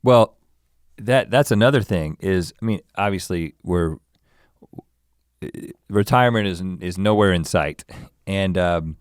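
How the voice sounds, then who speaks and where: A man in his forties musing aloud in a casual chat, reflective and halting as he searches for words. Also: low voice, no room sound, clean studio sound. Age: 40s.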